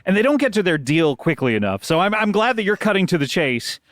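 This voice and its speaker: A middle-aged man hosting an improvised comedy podcast, teasing his guest and halting over a lot of uh's. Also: sits high, big pitch swings, fairly bright tone, no room sound, clean studio sound. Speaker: host.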